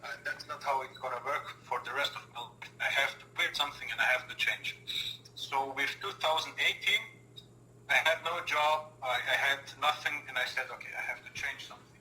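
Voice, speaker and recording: 20s guy from austria, earnest english, repeats himself, super bright tinny roomy call audio. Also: mid-range voice, steady tone, brisk, slight background noise. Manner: earnest, repeats words, slightly non-native phrasing. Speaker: podcast guest. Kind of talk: casual interview chat. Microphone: remote call.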